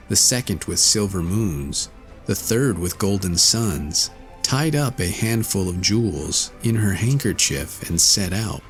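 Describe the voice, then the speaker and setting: Low-pitched male fairy-tale narrator. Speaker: audiobook narrator.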